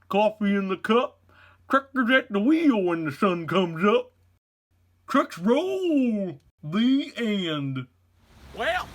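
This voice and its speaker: A man acts out a folksy country trucker with a drawl, hosting a children's storybook hour. The voice is rough and husky, swinging widely up and down in pitch. The delivery is theatrical and goofy, unhurried overall. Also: a bit bright, heavily acted. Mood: cheerful, scattered. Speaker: storybook read-aloud host. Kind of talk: comic children's read-aloud.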